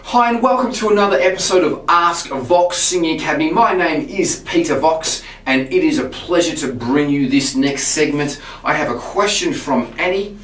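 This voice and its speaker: A man, a singing teacher, explaining vocal technique to camera in a relaxed way, often restarting his words. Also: on the high side, normal speed, few pauses, a little hiss or hum. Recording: roomy sound from a distance.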